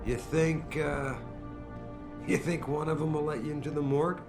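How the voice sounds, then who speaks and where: An actor playing a commanding middle-aged corporate boss who gives blunt, clipped orders in a game cutscene. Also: male voice, fairly high pitch, steady tone, normal speed, over music, lightly acted. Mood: stern. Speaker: video game cutscene character. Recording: game cutscene with loud score.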